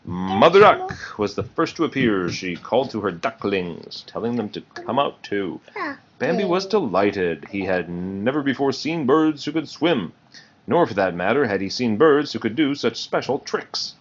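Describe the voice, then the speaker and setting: A man's voice: medium pitch, very animated, normal speed, lo-fi sound. A man narrating a children's storybook in a read-aloud style. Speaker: storybook reader.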